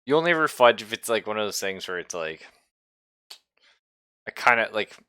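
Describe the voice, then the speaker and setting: A man with a fairly low voice and a bright, forward edge talks as game master to his players. The sound is dry and close, and his delivery is casual and conversational. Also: lively intonation, moderate pace, smooth, dry recording. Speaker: game master of an online tabletop RPG. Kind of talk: casual group chat while running a game.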